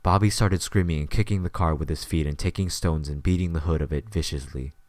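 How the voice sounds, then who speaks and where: A man's voice: extremely low pitch, brisk, a little mellow, no room sound. A man reading scripted story narration as the narrator of an audio drama.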